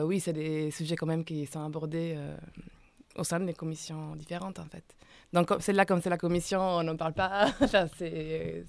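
Low-voiced, hesitant woman guest. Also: creaky, no room sound. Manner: soft-spoken, hesitant with many fillers. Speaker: interview guest, collective member. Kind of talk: radio interview.

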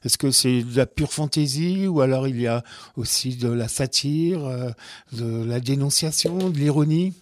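A man's voice: medium pitch, moderate pace, no echo. A man interviewing a guest, asking long questions with fillers. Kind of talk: radio interview.

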